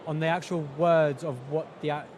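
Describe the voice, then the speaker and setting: A male interviewer speaks in a slightly higher-set voice with a casual, halting delivery, often repeating words as he forms a question. He is recorded amid heavy noise on a busy exhibition floor. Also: little pitch movement. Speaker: event interviewer. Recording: loud trade show floor noise.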